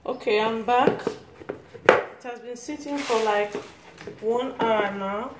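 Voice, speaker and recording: A woman's voice: on the high side, takes it slowly. A woman with a Nigerian accent giving a casual, step-by-step cooking demonstration as a home cook. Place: small tiled home kitchen, indoors. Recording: roomy, noisy home kitchen phone recording.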